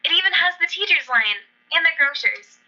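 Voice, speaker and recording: An anxious little girl, acted by a woman in a radio play, with a very high, piercingly bright voice. She is naive and chatty, and the recording is slightly dull. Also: expressive, fairly quick, exaggerated voice. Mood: earnest, worried. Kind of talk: amateur radio play acting. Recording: dull lo-fi audio.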